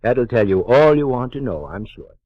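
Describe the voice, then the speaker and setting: Brief: man playing a fussy corner pharmacist, measured and courteous, vintage radio. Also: medium pitch, expressive, close to an everyday voice. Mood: polite. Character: polite, fussy male pharmacist. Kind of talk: 1940s radio drama acting. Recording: dull old radio transcription.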